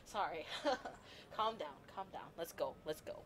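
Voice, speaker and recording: A young woman streaming a game, gushing and rambling like an excited fangirl. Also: lowish voice, measured pace, slight background noise. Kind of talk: excited live game commentary. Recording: game music playing under the voice. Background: game music.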